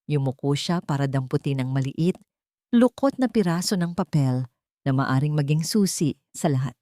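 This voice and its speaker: A woman's voice: low voice, expressive, moderate pace, warm tone, no room sound, studio quality. A woman reading a scripted story as an audiobook narrator, in a dramatic storytelling style.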